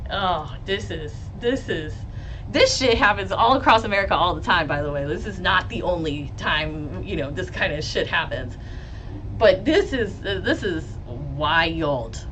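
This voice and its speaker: A woman lawyer with a loud voice, hosting a live stream about a trial and reading chat questions. She talks bluntly, swears freely and laughs often. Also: on the low side, lively intonation, a little slow, background noise. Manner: blunt and profane, with frequent laughs. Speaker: lawyer and legal commentator.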